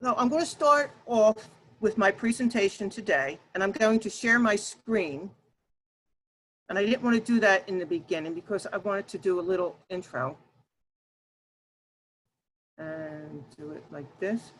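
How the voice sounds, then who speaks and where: A woman presenting an online workshop over a video call, talking loudly and emphatically and addressing her audience directly. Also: medium pitch, unhurried, long pauses, slight background noise, rough recording.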